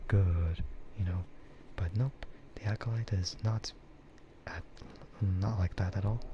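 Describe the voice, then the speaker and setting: Male ASMR streamer, soft whispery delivery, unhurried and rambling, muffled recording with clear background noise. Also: sits low. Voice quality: breathy, soft, mostly whispered. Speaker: streamer, VTuber. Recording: dull, muffled audio with loud ambient noise.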